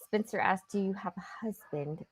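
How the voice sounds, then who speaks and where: A woman sitting in as co-host, giving short soft replies and reading out viewer comments. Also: mid-range voice, moderate pace. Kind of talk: casual chat.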